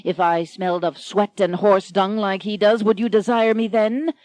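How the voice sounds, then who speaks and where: A woman's voice: mid-range voice, steady tone, moderate pace, darkish tone, no echo, natural voice. A woman voicing a brutal, jealous duke in a melodramatic story, with a snarling, contemptuous manner. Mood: furious. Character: brutal jealous male duke, domineering husband.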